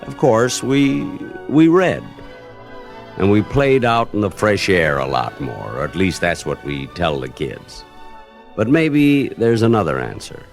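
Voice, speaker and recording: A man's voice: fairly low pitch, big pitch swings, measured pace, vocal fry, faint music behind. A man giving scripted, nostalgic narration in a wry, conversational way, as on a radio retrospective record.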